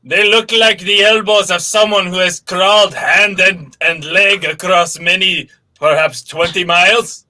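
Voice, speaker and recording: A man's voice: high voice, steady tone, measured pace, hardly any pauses, pushed and bright, poor audio. A man performing improvised comedy, taking formal, pompous parts in a live scene.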